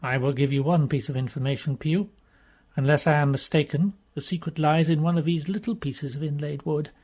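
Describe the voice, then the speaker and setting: A cool, know-all gentleman investigator from a storybook mystery, played by a male narrator. The voice is husky and breathy. He speaks in a measured, condescending way, sceptical of anything fanciful. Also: a little high, fairly even intonation, pitched below the performer's own voice, natural voice. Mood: confident. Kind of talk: audiobook character voice.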